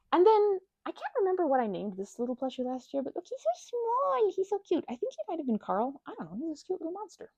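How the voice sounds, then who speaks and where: A woman doing an ASMR best-friend roleplay, chatting in a rambling, scattered way with playful, childlike excitement. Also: very high voice, dry recording. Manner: rambling, scattered, jumping between asides.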